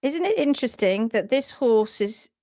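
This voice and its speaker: A woman hosting a livestream about horse hoof care, chatty and teaching. She has a sore throat and a croaky voice, and she mutters to herself while she fumbles with her screen. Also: fairly high pitch, lively intonation, measured pace, mellow, dry recording. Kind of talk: casual livestream talk and teaching. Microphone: computer mic on a video call.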